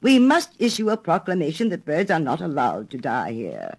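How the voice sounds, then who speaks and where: A woman's voice: low-pitched, sing-song, moderate pace, darkish tone, husky, heavily acted. Woman plays storybook mayor: self-important, fussy, declamatory, with big swings of intonation. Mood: pompous disdain. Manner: pompous, declamatory. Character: pompous, fussy male town mayor.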